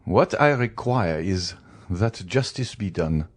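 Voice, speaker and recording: Storybook villain-judge voiced by a man: stern husband passing sentence, deliberate, emphatic, widely varied pitch. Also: animated, exaggerated voice. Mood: cold, commanding. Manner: cold, commanding, emphatic oratory. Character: stern, merciless male magistrate condemning his wife.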